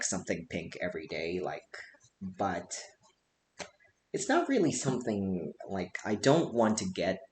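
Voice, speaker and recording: Deep-voiced man. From Japan. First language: Japanese. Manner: loose and conversational, frequent "like" fillers. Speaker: presenter. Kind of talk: casual spoken lecture. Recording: echoey room with faint hiss.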